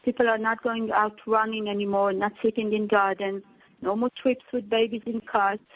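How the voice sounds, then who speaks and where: A woman, a teacher and mother, giving an earnest prepared speech to donors and pausing on fillers. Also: medium pitch, flat delivery, dry recording, lo-fi sound.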